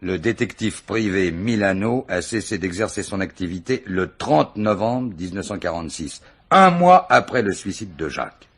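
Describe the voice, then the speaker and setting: An actor playing a gruff, impatient police commissioner who is bossy and teasing with his subordinate, in a French radio crime drama. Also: low-pitched, animated, normal speed, a bit bright, a little hiss or hum. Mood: businesslike, intrigued.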